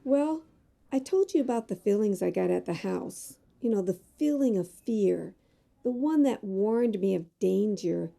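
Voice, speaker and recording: A woman's voice: medium pitch, lively intonation, unhurried, gravelly, dark tone. A woman playing an earnest, fearful magazine reporter in an audio drama, speaking in a dramatic, hesitant way. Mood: haunted, fearful. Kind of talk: amateur audio drama acting.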